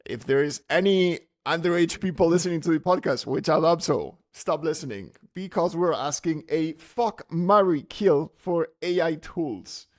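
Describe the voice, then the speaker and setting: A man's voice: fairly high pitch, normal speed, few pauses. A man co-hosting a podcast in a casual, chatty interview style. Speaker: podcast co-host.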